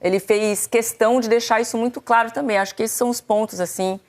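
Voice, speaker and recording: A woman's voice: on the high side, steady tone, quick pace. A woman, presenting and summarizing football news in a studio discussion. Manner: explains at length, summarizing and paraphrasing. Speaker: sports TV presenter.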